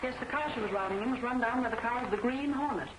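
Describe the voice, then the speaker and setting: An actress playing a brisk, matter-of-fact newspaper woman in an old film serial, speaking crisply. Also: on the high side, lightly acted. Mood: businesslike. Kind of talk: 1940s film serial acting. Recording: noisy old film soundtrack.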